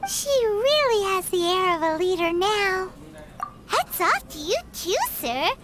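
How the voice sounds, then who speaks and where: A woman's voice: very high-pitched, animated, measured pace, heavy background noise. A woman voicing a tiny, childlike, chirpy fairy companion in an English-dubbed anime game. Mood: impressed. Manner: talks of herself in third person, exclamatory.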